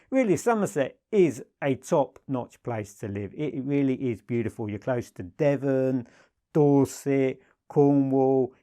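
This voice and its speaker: A British man in middle age with a medium-pitched voice talks informally to viewers as a product dealer. He is enthusiastic and leans hard on his words, piling up emphatic praise. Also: warm tone. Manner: enthusiastic, emphatic, repeats superlatives. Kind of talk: informal product presentation to camera.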